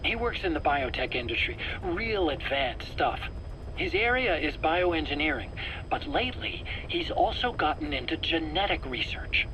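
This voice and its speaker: An actor playing a nervous, eager scientist under interrogation in a video game, self-justifying in an earnest, explanatory, pleading way. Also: male voice, fairly high pitch, lively intonation, moderate pace, bright, forceful tone, rough recording. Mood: secretive, insistent. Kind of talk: dramatic video game voice acting. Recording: faint background noise, cassette tape interrogation.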